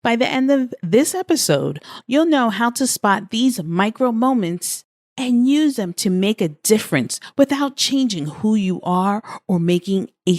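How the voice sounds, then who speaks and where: Female podcast coach, unhurried, animated pitch, scripted motivational read addressed to the listener. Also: no room sound, clean studio sound. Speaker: podcast host and life coach.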